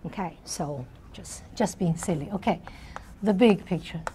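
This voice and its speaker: A soft-spoken middle-aged woman lecturing on math in a large hall, often checking in with her class. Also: lowish voice, moderate pace, background noise. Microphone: lapel mic.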